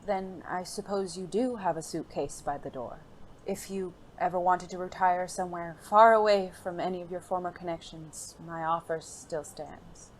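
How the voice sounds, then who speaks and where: A performer playing a gentle, refined gentleman companion in an audio drama, speaking formally, earnestly and in a measured way. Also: medium pitch, fairly even intonation, natural voice. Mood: caring, hopeful. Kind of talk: intimate audio drama dialogue. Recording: faint noisy background ambience.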